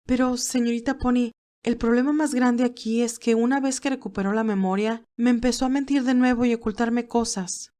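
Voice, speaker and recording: A woman's voice: on the high side, fairly even intonation, brisk, warm tone, voice pushed up, close to an everyday voice. A woman voicing an earnest, hurt young nurse who protests emotionally in an audio drama. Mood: upset. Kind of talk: audio drama character acting.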